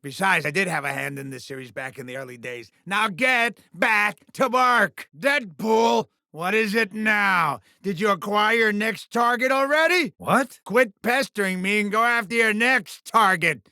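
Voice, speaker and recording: A man's voice: sits high, measured pace, few pauses, bright, forceful tone, no echo, clean studio sound, full character voice. A man playing a sleazy, bombastic alien TV mogul villain in a video game, with theatrical, condescending showbiz patter. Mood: blustering, nostalgic, then irritated, impatient.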